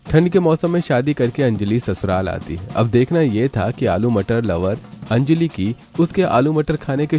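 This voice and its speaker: A man reading scripted narration for an animated family story. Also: lowish voice.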